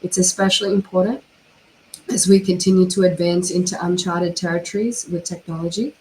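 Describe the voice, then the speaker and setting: A hoarse woman, her voice worn down by a cold, delivers a measured, formal presentation over a video call. Her pitch sits low and stays flat, and a faint hiss runs under the line. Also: low-quality recording. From Australia. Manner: measured, formal, with occasional fillers. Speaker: panel speaker, cyber criminologist. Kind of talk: formal prepared online presentation.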